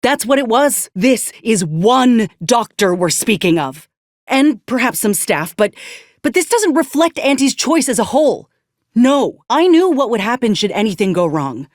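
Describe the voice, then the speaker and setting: A woman's voice: a little high, big pitch swings, unhurried, no room sound, clean studio sound. An actress playing a principled, wounded woman soldier in a video game, weary yet fiercely indignant, speaking earnestly in folksy, old-fashioned frontier phrasing. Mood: outraged, distressed. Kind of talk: dramatic video game acting.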